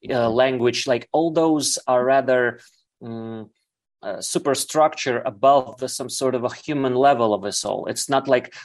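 A man from Ukraine whose first language is Russian, answering as an interview guest in halting, searching speech full of fillers. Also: medium pitch.

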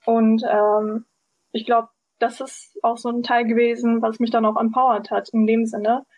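A woman's voice: fairly high pitch, flat delivery, normal speed, a little mellow, no room sound. A woman in her twenties talking casually on a podcast, thoughtful, with many fillers. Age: 20s. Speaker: podcast guest. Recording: dull, dry lo-fi sound.

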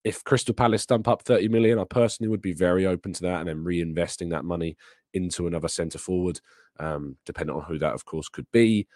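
A man with a raspy, hoarse voice from a sore throat, hosting a live show and casually answering chat questions. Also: low-pitched, fast, darkish tone, no echo, clean studio sound. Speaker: podcast host. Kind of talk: live transfer news and chat Q&A.

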